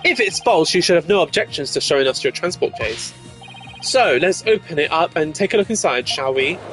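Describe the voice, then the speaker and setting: A dogged game defense lawyer, played by a man with a slightly high voice. He is assertive and sure of himself, pressing accusations while music plays behind. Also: animated, brisk, a bit bright. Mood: confident, accusing. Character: confident, dogged male defense attorney.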